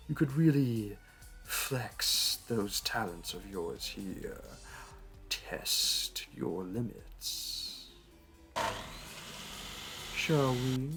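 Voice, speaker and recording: A man voices a smooth-talking, flirty supervillain for an audio roleplay. He takes his time, drawing words out in a theatrical, teasing purr at an ordinary male pitch. Soft music plays underneath. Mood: smug, inviting. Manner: teasing, purring, theatrical, drawn-out delivery.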